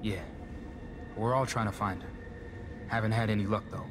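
A man's voice: fairly low pitch, fairly even intonation, normal speed, over music, close to an everyday voice. An actor playing a nervous male programmer and hacker in a video game, talking in a wheedling, persuasive way. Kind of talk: video game cutscene acting.

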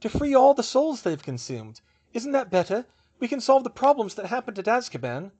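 Man voicing a high-pitched, passionate young British witch. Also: expressive, fairly quick. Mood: shocked, protesting. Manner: emphatic, indignant protesting.

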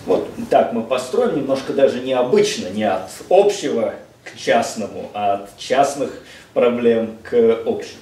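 A middle-aged man seated at a table in a seminar room, giving a measured lecture with frequent hesitations and slipping in English phrases. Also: mid-range voice, expressive, warm tone. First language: Russian. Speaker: visiting lecturer. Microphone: distant room mic, speaker seated across the room. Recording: echoey room, speaker far from mic, faint hiss.

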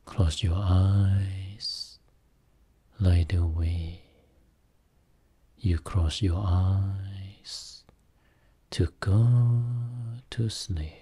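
A man guiding a Buddhist meditation as a teacher, speaking soothingly in short phrases with long pauses between them. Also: low voice, fairly even intonation, mellow, slightly rough. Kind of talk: guided meditation.

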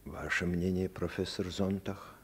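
An actor playing a stern, cold police colonel with a husky voice in a Soviet radio play. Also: male voice, sits low, fairly even intonation, unhurried, noisy background. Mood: formal.

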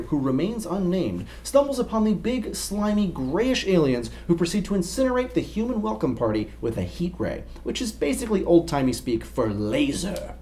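A man's voice: high voice, dark tone, slight background noise. A young man co-hosting a scripted explainer, narrating as he presents. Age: young adult. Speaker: co-host.

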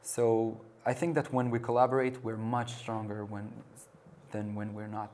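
A young man answering interview questions in a small TV studio, hesitant with restarts and pauses. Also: lowish voice, little pitch movement, darkish tone, slight background noise. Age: young adult. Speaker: interview guest.

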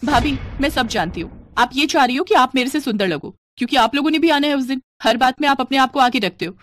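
Woman voicing a high, whiny young newlywed. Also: brisk, a little hiss or hum, close to an everyday voice. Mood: resentful. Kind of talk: animated moral story dialogue.

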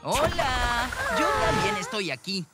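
A woman's voice: on the high side, wildly swooping pitch, takes it slowly, heavily acted. A woman voicing a suspicious, dramatic conspiracy-minded girl in a cartoon. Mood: dry. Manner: dramatic, skeptical. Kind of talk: animated cartoon dubbing. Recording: loud music underneath.

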